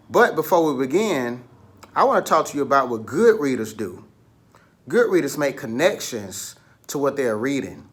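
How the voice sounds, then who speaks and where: A man's voice: on the high side, a bit bright. A man leading a picture book read-aloud lesson for children, instructing, asking questions and prompting listeners along.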